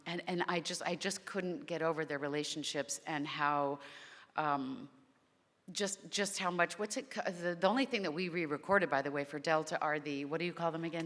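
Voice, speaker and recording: Low-voiced woman in a reverberant hall. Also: normal speed, a bit bright. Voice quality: naturally low voice. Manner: rambling, heartfelt, restarts often. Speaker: voice actor on a convention panel. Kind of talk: convention panel chat. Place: convention panel hall.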